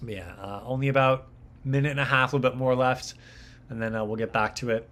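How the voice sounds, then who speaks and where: Young man, livestream host, casual, rambling, lots of ums, mid-pitched voice. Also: steady tone, fast, fairly bright tone. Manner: rambling, filler-heavy, repeats words. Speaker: livestream host, mixing engineer.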